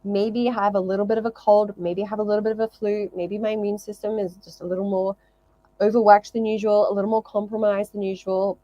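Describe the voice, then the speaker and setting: A woman's voice: mid-range voice, flat delivery, fast talker, dark tone, dry recording, dull audio. A woman talking casually to viewers as a fitness vlogger, explaining things emphatically and often repeating phrases in lists.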